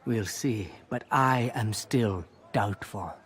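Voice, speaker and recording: An actor playing a skeptical informant in a video game, giving a measured, condescending briefing. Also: male voice, lowish voice, noisy background. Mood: doubtful, measured. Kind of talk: video game cutscene acting.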